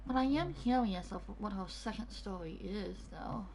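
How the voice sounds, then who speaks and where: A woman streams a video game with casual, unscripted commentary. She thinks aloud in a medium-pitched voice. Faint noise sits behind her. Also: expressive, normal speed, gravelly, a little mellow.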